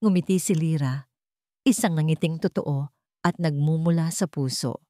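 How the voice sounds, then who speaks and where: A woman with a Filipino accent narrating an emotional family story in a soft, heartfelt storytelling manner. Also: low voice, normal speed, no echo, studio quality.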